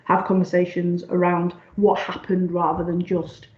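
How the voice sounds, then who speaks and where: A woman gives a seminar presentation over slides. She is croaky and talks haltingly, with many ums and restarts. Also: on the low side, completely flat delivery, darkish tone. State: croaky, clearing her throat. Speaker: union staff side lead presenting a seminar. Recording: echoey, dull lo-fi sound.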